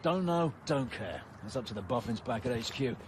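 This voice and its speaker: A man playing a gruff, cocky squad leader with a British accent in a military video game. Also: mid-range voice, lively intonation, normal speed, slight background noise, lightly acted. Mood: gruff, dry. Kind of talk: video game cutscene acting.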